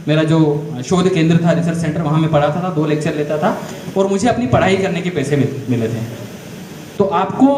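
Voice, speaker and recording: High-voiced man lecturing in echoey room. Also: a little mellow. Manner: addresses the audience with frequent direct questions; storytelling, explains step by step. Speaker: career guidance speaker to school students. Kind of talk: motivational talk to a class. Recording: echoey room, faint background noise.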